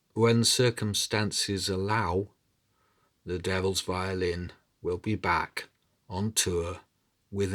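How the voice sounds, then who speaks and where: A man narrating as an oral storyteller, theatrical and deliberate, in short measured phrases. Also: lowish voice, fairly bright tone. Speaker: storyteller, podcast host.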